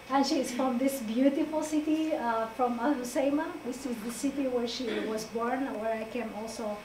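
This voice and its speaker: A woman from Morocco presenting to an audience in a halting way, with many fillers and frequent laughs. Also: fairly high pitch, a little mellow. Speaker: guest presenter. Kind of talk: informal talk to an audience. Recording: echoey room, distant mic, faint noise.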